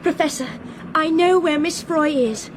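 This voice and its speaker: A woman's voice: sits high, measured pace, background noise. An actress playing an earnest, headstrong young Englishwoman, urgent and emphatic, in a British radio drama. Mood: urgent, defiant.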